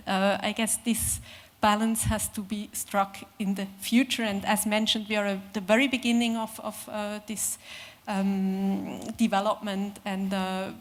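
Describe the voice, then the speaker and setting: Woman giving careful academic remarks in a reverberant hall. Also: mid-range voice. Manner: measured, careful, hesitant with fillers. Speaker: conference panelist.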